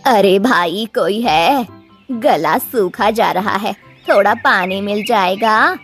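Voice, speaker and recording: A woman's voice: medium pitch, slow pace, husky, soft background music. Cartoon grandmother bird, elderly woman actor: stern, grabby, dismissive, forever grumbling and scolding. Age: older. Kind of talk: Hindi children's cartoon voice acting.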